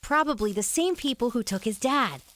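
A woman's voice: fairly high pitch, animated, normal speed, a bit bright. A woman playing a practical, sceptical young boy in a family audio drama. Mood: matter-of-fact.

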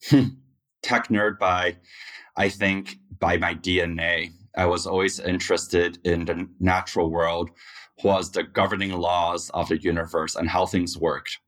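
A man in his thirties from Taiwan, a startup founder giving measured answers in an interview. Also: low voice, flat delivery, fairly bright tone.

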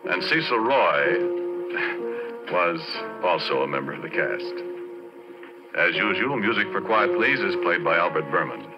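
An American man hosting a 1940s radio drama series, reading out credits and sign-off with measured, deliberate pauses. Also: low-pitched, animated, over loud music. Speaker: radio series host and lead actor. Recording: dull, noisy 1940s radio recording.

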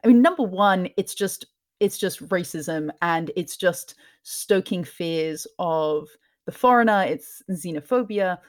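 A woman from Scotland with a mixed, mostly English accent, speaking softly in a lowered tone as a guest in a casual interview, laughing often. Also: animated, measured pace. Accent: mixed, mostly English with some Scottish. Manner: soft lowered tone, laughs often, many ums. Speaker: podcast host, guest.

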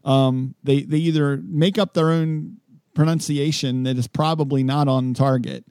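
Male host, casual interview chat, mellow timbre, dry studio-like sound, a little self-deprecating. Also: fairly high pitch, fairly even intonation, a little slow, clear, resonant tone, no echo. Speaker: podcast host.

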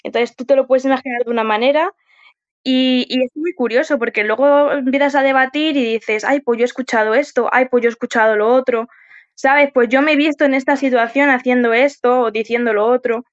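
A dull, lo-fi recording carries a fairly high female voice with an accent from Spain. She chats casually as a podcast guest, rambling informally through what she has to tell. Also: fairly even intonation, a little fast. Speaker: podcast guest and event helper. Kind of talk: casual chat.

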